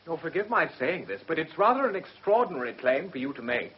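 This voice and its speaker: A man's voice: a little high, sing-song, a little fast. A man playing a sceptical British defence barrister in a radio drama, cross-examining with polite but pointed challenges. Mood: challenging. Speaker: actor. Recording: muffled vintage radio drama recording.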